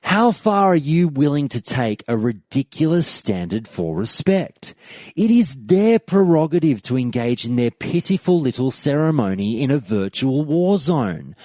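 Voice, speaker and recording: A man's voice: medium pitch, dark tone, no echo, clean studio sound. A man hosting a podcast, delivering a scripted, argumentative monologue in an emphatic, rhetorical, debate-style way. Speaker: podcast host. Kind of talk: scripted argumentative monologue, reading out forum posts.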